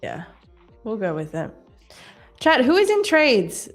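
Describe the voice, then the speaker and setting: A woman's voice: fairly high pitch, wildly swooping pitch, takes it slowly. A woman in her twenties co-hosting a live trading stream, chatting casually, laughing often and reading viewer comments aloud. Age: 20s. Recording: faint music underneath.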